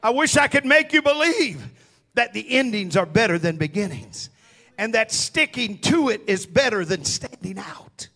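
A man's voice: high-pitched, animated, normal speed, pushed and bright. A man preaching a sermon, emphatic and calling on the congregation to answer back. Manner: emphatic, calls on the congregation to respond.

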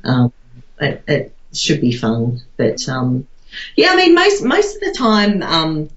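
Low-voiced woman, hesitant, in an echoey room. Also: wildly swooping pitch, slow. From Australia. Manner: hesitant, thinking aloud with trailing phrases. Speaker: interviewee, artist. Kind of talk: casual interview chat. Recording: dull lo-fi, roomy echo.

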